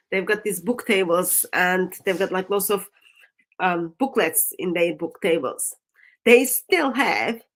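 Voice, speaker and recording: A woman with a Turkish accent, a native Turkish speaker, hosting a religious discussion show in a deliberate, emphatic way that leans on repeated phrases. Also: on the low side. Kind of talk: live discussion with evangelistic exhortation.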